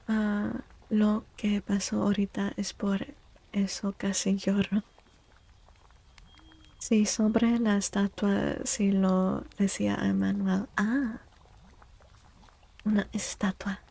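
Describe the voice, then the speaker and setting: Soft spoken asmr streamer lady, slow and breathy, kinda creaky, slips into whispers. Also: medium pitch. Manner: gentle, slow, drifting into whispers. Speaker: live streamer and tarot reader.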